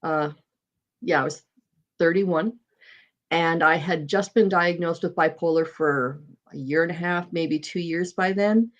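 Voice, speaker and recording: A woman in her forties sharing openly in an online recovery meeting. Her voice sits fairly low, and she speaks in a halting way, pausing often and searching for words as she goes. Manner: open, halting, many pauses and fillers. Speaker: meeting participant. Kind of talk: casual chat.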